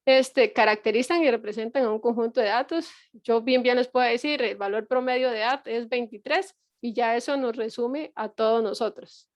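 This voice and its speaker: A woman's voice: on the high side, normal speed, no echo, muffled recording. A middle-aged woman lecturing a class online, explaining patiently with frequent fillers and rhetorical checks. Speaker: university lecturer.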